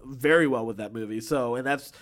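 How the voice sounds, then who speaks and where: A man's voice: mid-range voice, brisk. A man co-hosting a podcast, chatting casually about entertainment news. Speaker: podcast co-host.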